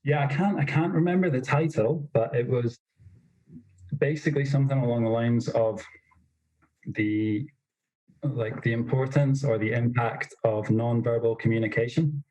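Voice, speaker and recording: A young man telling stories as a podcast guest, in a self-described monotonous voice with lots of fillers. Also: mid-range voice, normal speed, dull audio. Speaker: snowboard instructor trainer, podcast guest. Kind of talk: casual interview storytelling.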